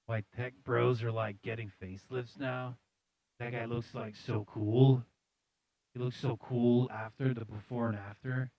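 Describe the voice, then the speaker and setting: A man's voice: mid-range voice, level tone, a little slow, no echo, highs cut off. A man hosting a live news show, casually reading articles aloud with jokey, slangy, sardonic commentary.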